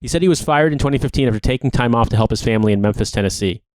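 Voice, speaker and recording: A man's voice: mid-range voice, racing, a little mellow, dry recording. A man co-hosting a podcast, reading a long article aloud. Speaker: podcast co-host.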